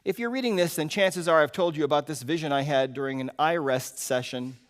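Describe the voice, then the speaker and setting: A man reads a story aloud expressively at a live event, earnest, with dramatic pauses. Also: a little high. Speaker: speaker reading a story aloud at a retreat.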